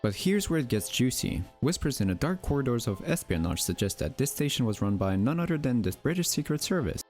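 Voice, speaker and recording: A man with a low voice narrates a scripted mystery documentary. His pitch moves in lively swings, giving the delivery a theatrical, playful feel. Music plays behind the voice-over. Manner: theatrical, with rhetorical questions and playful asides. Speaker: narrator and presenter of a mystery video channel.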